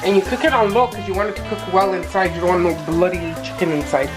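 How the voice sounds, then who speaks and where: A woman's voice: lowish voice, fast talker. A woman in her thirties with a stuffy, congested voice, casually talking through a recipe step by step in her home kitchen. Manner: relaxed, chatty step-by-step explaining. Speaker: home cook filming a cooking vlog. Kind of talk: casual cooking narration. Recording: echoey kitchen with music underneath.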